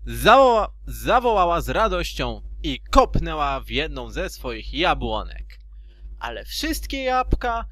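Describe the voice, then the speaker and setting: A man's voice: high-pitched, fairly bright tone. A man narrating a storybook in an amateur fan dub, theatrically and with loose asides.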